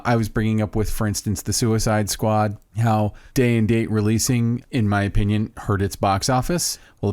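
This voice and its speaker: Guy with a lowish voice rambling excitedly on a podcast, dry close sound. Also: no echo. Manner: enthusiastic, rambling, self-interrupting. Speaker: podcast co-host. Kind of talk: casual podcast chat.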